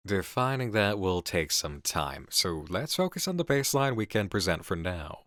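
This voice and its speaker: A man playing an intense, seductive horror game designer in an intimate ASMR roleplay, speaking in deliberate, drawn-out phrases on a close mic. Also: low voice, big pitch swings, fairly bright tone, no echo. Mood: thoughtful. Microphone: close studio mic.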